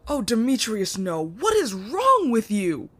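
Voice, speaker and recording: A man's voice: high voice, huge pitch swings, a little slow, bright, forceful tone, exaggerated voice. A man playing a naive, indignant, teasing nineteen-year-old prince in a fantasy audio drama. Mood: baffled, shocked.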